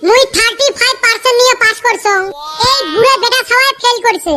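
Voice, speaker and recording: A performer voicing a cheeky, bratty little brother in a comic cartoon dub, with a squeaky, insolent, demanding delivery. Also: quick pace. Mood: tattling. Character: cheeky bratty little brother who blackmails his elder. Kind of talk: comic dialect cartoon dubbing. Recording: very noisy home recording with clicks.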